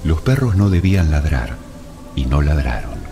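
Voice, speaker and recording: A man narrating a literary story in a dramatic, measured and deliberate way. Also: very deep voice, big pitch swings, background noise. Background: music.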